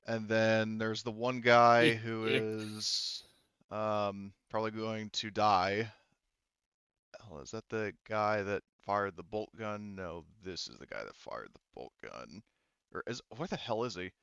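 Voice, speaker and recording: A low, smooth male voice, dry and slightly muffled. He is a game master talking through a tabletop session casually, pausing often as he works things out aloud. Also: fairly bright tone, dry recording. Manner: halting, thinking aloud.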